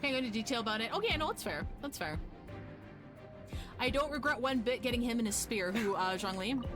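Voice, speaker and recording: A woman's voice: fairly high pitch, lively intonation, fairly quick. A woman streaming a video game live, commenting casually in an informal, sweary, self-mocking way. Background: game music.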